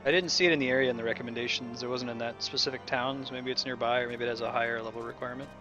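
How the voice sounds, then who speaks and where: A man's voice: mid-range voice, steady tone, racing, lo-fi sound, over music. A man chatting casually as he plays a video game with friends. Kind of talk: casual gaming chat.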